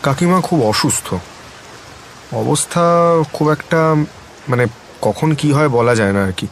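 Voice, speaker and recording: A grave, worried relative, played by a man in an audio story. He has a medium-pitched voice and speaks haltingly, over faint rain noise. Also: expressive. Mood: grave, hesitant. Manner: hesitant, grave. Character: worried young kinsman bringing grave family news. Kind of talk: Bengali audio story acting.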